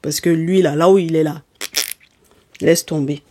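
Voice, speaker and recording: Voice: medium pitch, steady tone, a little slow, mellow, no echo. A tarot card reader who is not a native speaker of the language. They talk straight to viewers in an emphatic, scolding way, often stopping to check in with "okay?". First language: not French. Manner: speaks directly to listeners with terms of endearment. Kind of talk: spoken tarot reading addressed to viewers.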